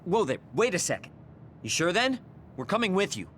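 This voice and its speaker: A man's voice: high voice, sing-song, a little fast, a bit bright, slight background noise. An actor voicing an earnest, forthright young male teammate in an anime game dub, direct and boyish. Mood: insistent. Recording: music behind the voice.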